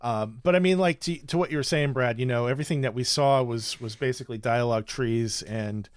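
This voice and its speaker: A man's voice: medium pitch, a little fast, clean tone, no room sound, studio quality. A man co-hosting a podcast, talking in a casual, conversational style. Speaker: podcast co-host. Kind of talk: casual chat.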